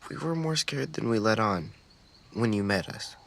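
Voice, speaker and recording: A man's voice: on the low side, animated, moderate pace, vocal fry. A man playing an earnest, thoughtful young boy survivor in a video game, speaking hesitantly. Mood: reflective, sincere. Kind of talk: naturalistic video game voice acting. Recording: noisy outdoor ambience with crickets.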